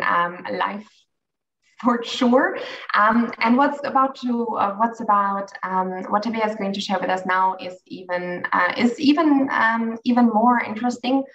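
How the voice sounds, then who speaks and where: A woman's voice: medium pitch, a bit bright. A woman moderating an online session, friendly and hesitant, with many ums. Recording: dull, distant video call audio.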